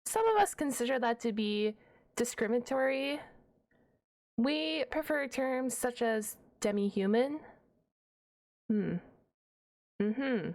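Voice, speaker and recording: A woman's voice: on the high side, expressive, slow, long pauses, darkish tone. A woman playing a shy, nerdy half-dragon librarian in an ASMR roleplay, stammering and wordy, awkwardly defensive and a stickler for rules. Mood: matter-of-fact, prim. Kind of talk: ASMR roleplay audio drama.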